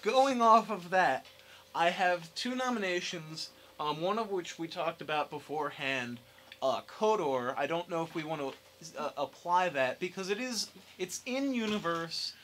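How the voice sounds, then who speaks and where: A young man with a bright, forceful voice, pitched a little high. He talks casually and emphatically about games as a podcast panelist. The amateur recording has a faint layer of noise behind him. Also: lively intonation, unhurried. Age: young adult. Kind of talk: casual gaming discussion.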